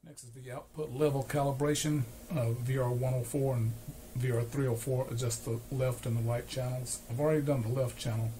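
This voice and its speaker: A man giving an unscripted, hands-on repair demonstration, explaining step by step with hesitant pauses. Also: medium pitch, fairly even intonation. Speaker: host, electronics repair demonstrator. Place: small cluttered indoor electronics workbench. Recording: noisy workshop recording with equipment sounds.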